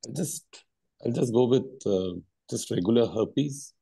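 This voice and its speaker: A man's voice: mid-range voice, animated, unhurried, creaky, dark tone, slightly rough, no echo. A man answering as a quiz contestant, casually thinking aloud.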